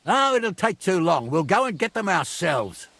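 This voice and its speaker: A man's voice: sits high, normal speed, gravelly, no echo, exaggerated voice. An actor playing a bossy, hot-tempered medieval man in command, in a video game. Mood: indignant. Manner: imperious, animated, commanding.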